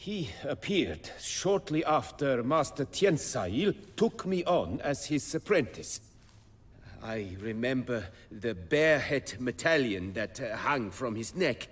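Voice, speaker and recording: An actor playing a proud, wistful master armorer in a video game, telling tales in a formal, measured manner. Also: male voice, medium pitch, sing-song, slightly rough, heavily acted. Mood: measured, recounting. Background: music.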